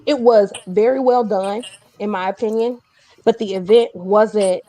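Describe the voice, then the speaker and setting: A woman hosting a casual interview chat, telling things in an animated, emphatic way. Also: mid-range voice, unhurried, dry recording, rough recording. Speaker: host.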